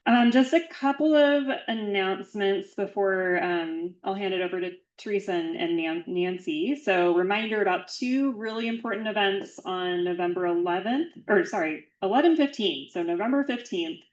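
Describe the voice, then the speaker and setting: A woman's voice: medium pitch, echoey room, lo-fi sound. A woman hosting a membership meeting, giving friendly, organized announcements with a few fillers. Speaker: meeting host.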